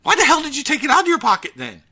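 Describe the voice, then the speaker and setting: A man chatting casually as a podcast host, ranting in an animated, profane way. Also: extremely high pitch, very fast. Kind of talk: casual chat about gaming news.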